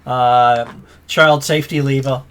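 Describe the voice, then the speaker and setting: A man narrating as game master of an online tabletop game, talking in halting bursts with many fillers. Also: mid-range voice, steady tone, a little hiss or hum.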